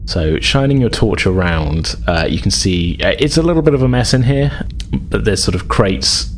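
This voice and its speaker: A man narrates a tabletop roleplaying game as its game master in a very low voice. He improvises conversationally, hedging as he goes, with slight background noise. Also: expressive, moderate pace.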